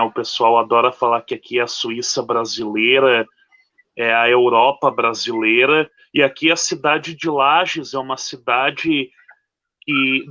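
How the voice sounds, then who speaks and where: A man's voice: mid-range voice, sharp tone. A man on a discussion panel, explaining steadily and often ending with a tag question. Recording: dull lo-fi call audio.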